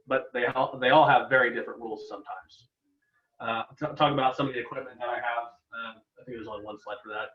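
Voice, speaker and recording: A man's voice: medium pitch, fairly bright tone. A man giving an informal webinar presentation as a guest air traffic controller, casual and given to joking asides. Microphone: online video call. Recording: echoey, distant, dull webinar microphone.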